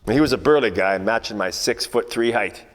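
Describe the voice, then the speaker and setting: A man's voice: lowish voice, fairly quick, close to an everyday voice. Man acting a hardboiled 1940s detective in echoey hall. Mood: guarded, wry. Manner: wry, world-weary, slangy deadpan narration. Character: hardboiled, wisecracking 1940s male private detective, burly and cocky. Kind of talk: 1940s radio noir parody acting. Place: theatre production shot before green screen. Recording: echoey hall, faint noise.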